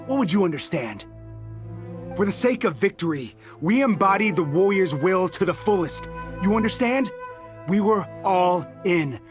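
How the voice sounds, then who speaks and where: A stubborn, distrustful resistance fighter from a video game. The voice is high for a man and declaims with combative passion. Faint music sits behind it. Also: animated, normal speed. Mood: anguished, defiant. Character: hot-blooded, stubborn resistance soldier man, boozy and distrustful.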